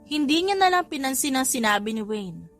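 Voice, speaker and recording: High-pitched woman narrating an audiobook. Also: sing-song, brisk, soft background music. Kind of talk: scripted story narration.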